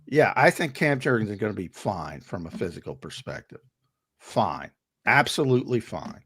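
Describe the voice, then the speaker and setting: Emphatic man, slightly hoarse. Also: on the low side, animated, moderate pace, a bit bright. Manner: emphatic, repeats words when starting a point. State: losing his voice. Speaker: co-host and analyst. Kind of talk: sports talk show debate.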